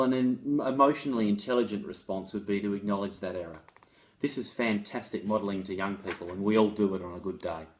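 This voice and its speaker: A man presenting as an education author, reading a case study aloud and explaining it in a conversational, instructive way. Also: lowish voice. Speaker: author and presenter on restorative practices in schools.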